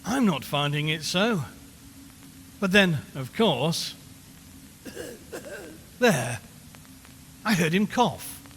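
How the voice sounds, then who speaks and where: A man's voice: on the high side, expressive, very slow, long pauses, fairly bright tone, full character voice. A man playing a nervous, wryly chatty radio reporter giving a live commentary from a haunted house in an audio drama. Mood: uneasy. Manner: chatty, wry, increasingly uneasy. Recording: long reverberant hall, background noise.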